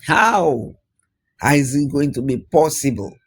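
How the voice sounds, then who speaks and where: A man's voice: mid-range voice, animated, measured pace, husky. A man voicing a worried, questioning young man facing war in a folktale. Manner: anxious, questioning.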